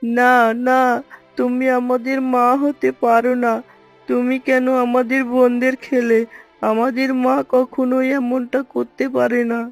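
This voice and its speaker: A woman's voice: a little high, flat delivery, unhurried, faint music behind. A woman voicing a young baby snake in a children's cartoon, childlike, clingy and earnestly pleading. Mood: alarmed.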